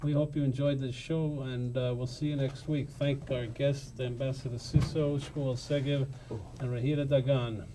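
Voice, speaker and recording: A man's voice: mid-range voice, flat delivery, moderate pace, hardly any pauses, noisy background. A man hosting a panel discussion in an informal way, often jumping in with short interjections. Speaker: talk show host.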